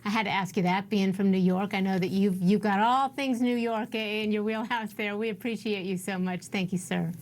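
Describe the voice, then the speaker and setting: A woman's voice: medium pitch, steady tone, normal speed, few pauses. A woman with an American accent working as a news anchor, presenting and interviewing live on television.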